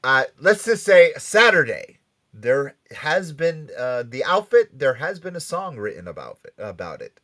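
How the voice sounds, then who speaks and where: A man hosting a casual pop culture talk show, speaking haltingly and often repeating and rephrasing himself. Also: fairly high pitch, sing-song, bright, forceful tone. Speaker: podcast and radio show host.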